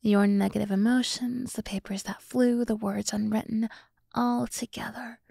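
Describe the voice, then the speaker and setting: A woman playing a sultry, teasing demoness in an ASMR roleplay, speaking in a soft half-whisper with slow, condescendingly soothing deliberation, close to the microphone. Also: on the high side, little pitch movement, vocal fry, slightly rough, no room sound, exaggerated voice. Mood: mock pity. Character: sultry, teasing demoness summoned to serve a human.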